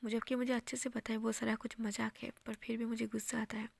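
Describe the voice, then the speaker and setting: A woman whispering the lines of a reserved, lovesick young man in a retold romance story, with a gravelly, close and dry sound. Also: on the high side, little pitch movement, fast, dry recording. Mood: earnest. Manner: whispered, soft-spoken.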